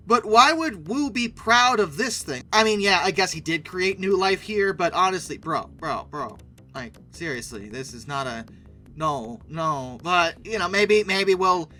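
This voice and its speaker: Bright, high-voiced man doing sarcastic commentary. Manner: sarcastic, opinionated, emphatic. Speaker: YouTube commentator and host. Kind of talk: casual video commentary.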